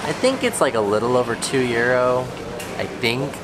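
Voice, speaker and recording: A young man filming himself at arm's length in a supermarket, explaining grocery prices in a relaxed, chatty way. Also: mid-range voice, moderate pace. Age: young adult. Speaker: travel vlogger. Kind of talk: casual vlog commentary. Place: large indoor supermarket. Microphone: selfie camera at arm's length. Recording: store noise and air conditioning.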